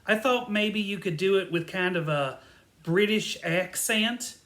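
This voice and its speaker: A woman playing an earnest, slightly bossy amateur theatre director in a comic audio drama, announcing things deliberately. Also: mid-range voice, fairly bright tone, lightly acted. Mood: officious.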